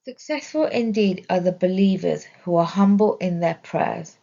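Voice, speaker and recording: Woman with creaky voice reading religious lesson. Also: fairly low pitch, dark tone, dull audio. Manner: measured, deliberate reading. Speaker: reader of religious study text. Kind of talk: scripted narration, religious lesson.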